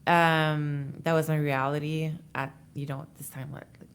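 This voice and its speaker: Low-voiced woman. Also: flat delivery, a little slow, a little hiss or hum. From Montreal, Canada. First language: French. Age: 20s. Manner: chatty storytelling with many fillers. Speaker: interview guest, bikini competitor. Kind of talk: casual interview talk.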